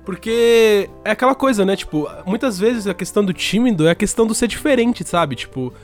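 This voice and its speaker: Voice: medium pitch, expressive, brisk, soft background music. A co-host with a São Paulo accent chatting casually, blunt and slangy, swearing freely. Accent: São Paulo Portuguese. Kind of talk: casual chat.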